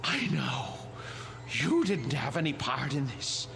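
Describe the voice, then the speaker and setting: Slow, anxious, lonely museum caretaker. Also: male voice, medium pitch, big pitch swings, gravelly, music in the background, exaggerated voice. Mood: shocked, accusing. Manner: hesitant, halting, pleading. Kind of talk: audio drama acting.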